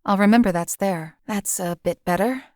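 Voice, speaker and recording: A woman's voice: medium pitch, moderate pace, a little mellow, dry recording, lightly acted. A woman playing a composed female assassin for hire in a video game, speaking in a dry, matter-of-fact way. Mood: dry, understated. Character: cool, lethal female assassin companion for hire. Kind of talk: video game companion voice lines.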